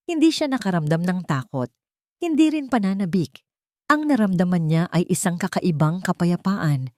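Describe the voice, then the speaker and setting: Low-voiced woman narrating a drama. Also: a little mellow, no echo, studio quality. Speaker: storyteller. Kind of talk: dramatic scripted story narration.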